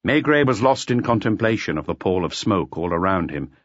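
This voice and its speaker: A man with a fairly low voice narrates an audiobook dramatically. His reading is very animated in pitch, and the recording is very dry and a touch dull. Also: fairly quick, no echo.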